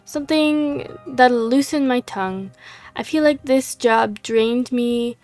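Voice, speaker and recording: A laid-back female monster hunter read by a woman, with a higher voice delivered slow and unhurried. The voice has a gravelly, fried edge and sounds a little worn, as if it is going hoarse. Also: a little mellow, faint music behind. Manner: reads dialogue aloud in her own plain voice. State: losing her voice.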